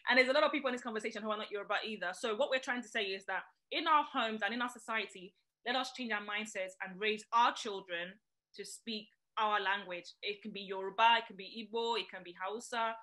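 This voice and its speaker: A woman hosting a webinar over a video call, moderating questions in a friendly, brisk way. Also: mid-range voice, sharp tone, low-quality recording. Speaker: webinar host.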